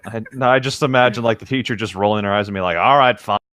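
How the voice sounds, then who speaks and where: Male co-host narrating fiction informally, somewhat deep pitch, very dry studio-like sound. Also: fast, a bit bright, dry recording. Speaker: co-host of a comedic read-aloud show. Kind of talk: reading novel narration aloud with casual asides.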